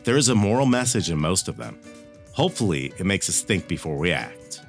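Low-pitched man hosting over music. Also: very animated, brisk, vocal fry. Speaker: podcast host. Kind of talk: casual podcast chat. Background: background music.

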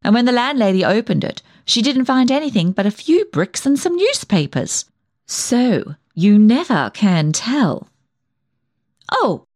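A gossipy village dressmaker in a comic monologue. Her voice rises and dips in lively swoops, fussy, snobbish and full of sly insinuation. The sound is dry and close, with no room around it. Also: mid-range voice, no room sound. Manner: insinuating, chatty, self-important, fussy. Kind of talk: comic dramatic monologue reading.

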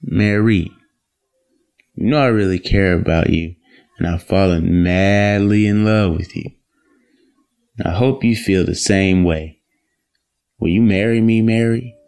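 A man's voice: lowish voice, unhurried, gravelly, pauses often, darkish tone, smooth. A man playing an earnest, respectful young man in love in an audio drama, speaking politely and formally. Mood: loving.

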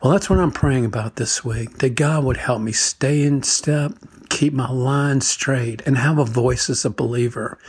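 An older man giving a gentle devotional talk, telling a childhood story and chuckling as he goes, then closing with a prayer. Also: medium pitch, breathy edge. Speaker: devotional speaker.

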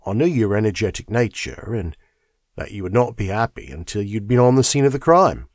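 A man voicing a brisk, self-assured police inspector who speaks confidently and indulgently. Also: on the low side, animated, no echo, studio quality, close to an everyday voice.

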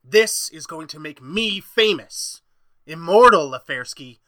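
Arrogant, animated mad scientist. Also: male voice, fairly high pitch, unhurried, no echo. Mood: grandiose, scornful. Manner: theatrical, imperious, gloating. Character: obsessed, arrogant male mad scientist, gleeful and domineering. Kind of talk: old-time radio horror acting.

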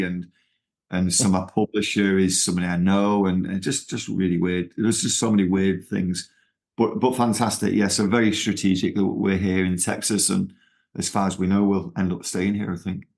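A middle-aged man with a Manchester accent speaks very low, his voice gravelly with fry. He talks loosely as an interview guest, circling back with fillers and restarts. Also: little pitch movement, normal speed, darkish tone. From England. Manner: rambling, with many fillers and restarts. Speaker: ministry founder, guest. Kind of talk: conversational interview.

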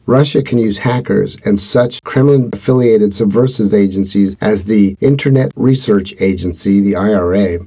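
A man hosting a podcast, reading his script in an earnest, steady way. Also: on the low side, breathy edge. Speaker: podcast host.

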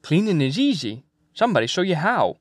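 A man's voice: fairly high pitch, big pitch swings, a little fast, dry recording, studio quality, pitched above the performer's own voice. A man voicing a sly, toothless, fussy old Swedish fisherman in an audiobook, sentences rising like questions. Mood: reluctant. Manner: questioning rise at sentence ends. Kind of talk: acted audiobook character dialogue.

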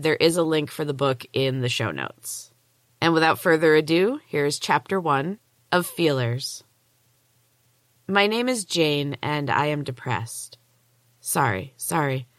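A woman's voice: low voice, a bit bright, no room sound. A woman hosting a storytelling podcast and reading her own first-person fiction aloud. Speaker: podcast host and author.

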